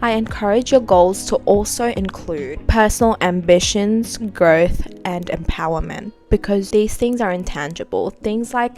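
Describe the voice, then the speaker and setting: A woman's voice: medium pitch, a little slow, hardly any pauses, darkish tone, soft background music. Earnest, slightly congested young woman vlogger. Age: 20s. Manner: earnest, encouraging, addresses viewers as "you guys". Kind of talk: direct-to-camera advice vlog.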